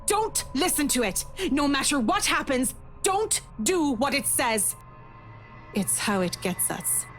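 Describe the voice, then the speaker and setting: A woman's voice: high voice, very animated, slow pace, fairly bright tone, soft background music, heavily acted. A woman voicing a frightened, urgent young survivor in a horror visual novel, pleading as she warns.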